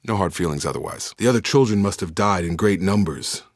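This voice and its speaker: Deep-voiced soldier, in a dry recording. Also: male voice, brisk, dry recording. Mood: steady, serious. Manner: clipped, matter-of-fact military delivery. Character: soldierly, steady, dutiful adult male space operative. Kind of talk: scripted video game dialogue.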